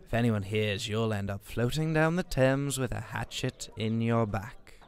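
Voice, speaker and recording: A slow-talking villain of radio drama, acted by a man. He is a greedy backstreet weapons dealer, sly and theatrical, who drags out his threats and sales talk alike. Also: medium pitch, clear, resonant tone, natural voice. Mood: menacing. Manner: sly, theatrical, mangles plurals.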